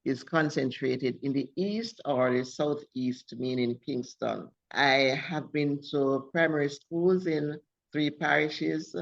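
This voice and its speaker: A woman from Jamaica with a Jamaican accent, a guest author telling her background in a measured way, listing many details. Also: low-pitched, steady tone, creaky, muffled recording. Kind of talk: interview.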